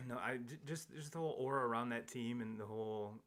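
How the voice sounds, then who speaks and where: A man's voice: medium pitch, a little fast, a bit bright. A man hosting a live sports podcast, chatting casually and rambling with many restarts and fillers. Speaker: podcast host. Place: home studio, seated across from co-host.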